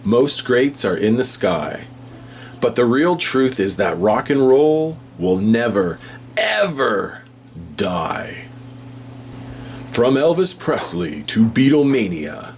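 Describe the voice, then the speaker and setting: A man reading a children's picture book aloud as a storybook reader, with deliberate, measured phrasing. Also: lowish voice. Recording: hum and faint background noise.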